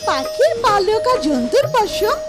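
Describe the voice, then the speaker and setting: Sweet little fairy for a kids cartoon, super high swoopy voice, loud music. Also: very animated, unhurried, exaggerated voice. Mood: granting, incantatory. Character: sweet magical fairy granting wishes. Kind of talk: children's cartoon dub. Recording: loud tinkling children's music behind.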